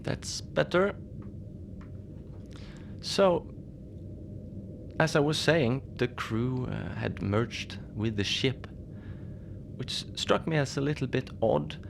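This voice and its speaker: A man playing a mild, lonely Antarctic station manager in a cozy horror audio drama, speaking in a hesitant, understated deadpan as he logs his shift. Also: medium pitch, slow pace, creaky, pauses often. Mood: musing, wistful. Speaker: narrator of a fiction podcast. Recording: noisy ambient bed behind the voice.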